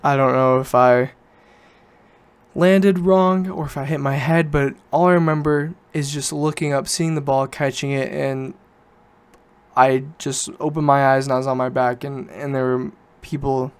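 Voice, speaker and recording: Clear-voiced young man rambling casually. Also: mid-range voice, fairly even intonation, unhurried. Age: late teens. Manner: informal, self-correcting, loosely rambling. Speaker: podcast host. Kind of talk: casual solo podcast chat, rambling storytelling.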